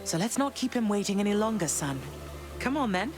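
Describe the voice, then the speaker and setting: An actress voicing a composed, gentle goddess mother in a video game, tender yet resolute, with a measured manner. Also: mid-range voice, big pitch swings, close to an everyday voice. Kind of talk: video game character acting. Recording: clear music behind the voice.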